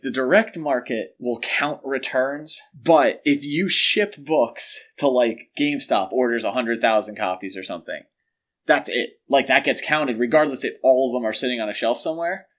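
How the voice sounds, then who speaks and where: A man's voice: mid-range voice, normal speed, a bit bright. Explanatory man in casual podcast discussion. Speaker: podcast co-host. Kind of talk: casual pop culture discussion.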